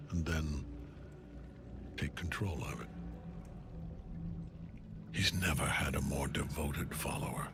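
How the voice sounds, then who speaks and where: A grim older general sworn to a god of death, cold and brooding. His voice is very deep and he speaks slowly and deliberately, with loud music under the game scene. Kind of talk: video game cutscene dialogue.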